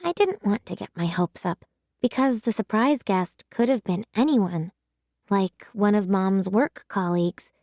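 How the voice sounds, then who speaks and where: A woman narrating a children's story in an animated, emphatic storytelling manner. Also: medium pitch, unhurried, no echo, studio quality. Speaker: read-aloud storyteller. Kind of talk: expressive children's audiobook narration.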